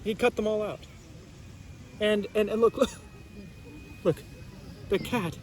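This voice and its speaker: A man's voice: sits high, takes it slowly, a little mellow, slight background noise. A man reading a storybook aloud as a teacher, casually chatting and prompting listeners with questions.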